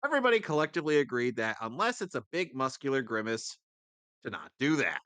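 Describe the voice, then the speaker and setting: A man's voice: mid-range voice, sing-song, fast, sharp tone, dry recording. A man narrating a comic script in a mock-dramatic way with asides, as a co-reader on a live stream.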